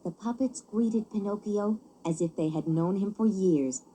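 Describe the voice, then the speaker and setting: A woman narrating a children's story as a book on tape, expressive and clearly paced. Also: mid-range voice, measured pace, a little hiss or hum, rough recording.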